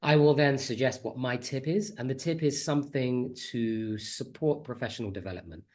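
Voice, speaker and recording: A man's voice: mid-range voice, moderate pace, darkish tone. A man with a slight cold giving a chatty, friendly online conference talk as a teacher trainer, on a computer mic over a video call. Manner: friendly, chatty, self-deprecating.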